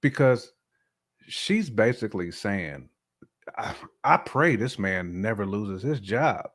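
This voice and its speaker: A man co-hosting a casual relationship podcast, talking in slang with emphatic, animated opinions. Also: on the low side, takes it slowly. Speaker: podcast co-host.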